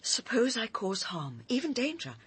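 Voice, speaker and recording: Breathy, troubled woman. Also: on the high side, sing-song, normal speed, fairly bright tone, exaggerated voice. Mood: troubled, questioning. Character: troubled, earnest woman seeking moral guidance. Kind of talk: intimate radio drama acting.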